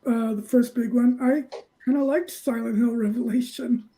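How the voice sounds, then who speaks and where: A woman on a panel giving her film opinions casually, in a measured, hedging way. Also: on the high side, steady tone, a crowd in the background, rough recording. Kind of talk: casual chat.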